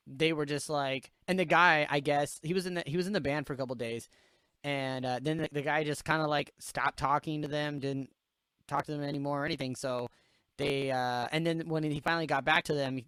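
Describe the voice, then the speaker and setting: Podcast host, man in his late twenties, smooth clear voice, dry close sound, loose and profane storyteller. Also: mid-range voice, steady tone, a little fast, fairly bright tone, no room sound. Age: late 20s. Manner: loose storytelling, swears freely, laughs at own jokes. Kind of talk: casual chat.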